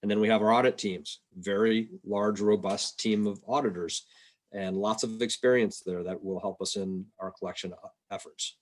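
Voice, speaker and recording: A man giving an informal, explanatory presentation on a video call, plain-spoken and somewhat halting. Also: fairly low pitch, fairly even intonation. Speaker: state revenue agency policy presenter. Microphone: video call microphone.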